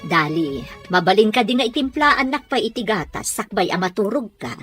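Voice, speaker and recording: A woman's voice: mid-range voice, lively intonation, normal speed, a bit bright, soft background music, natural voice. A woman playing an anxious, fretful mother and lady of a well-off house in an audio drama. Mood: gentle. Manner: worried, fretful. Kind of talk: radio drama acting.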